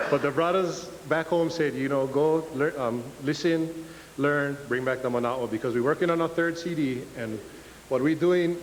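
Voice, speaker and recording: A young man from Hawaii speaks in a Hawaiian Pidgin accent, loose and self-mocking as he admits his nerves to the audience. His voice sits slightly high for a man. The recording carries a long reverb from a lecture hall and a faint hiss underneath. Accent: Hawaiian Pidgin English. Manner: nervous, joking, self-deprecating. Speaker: guest speaker. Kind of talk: casual public talk.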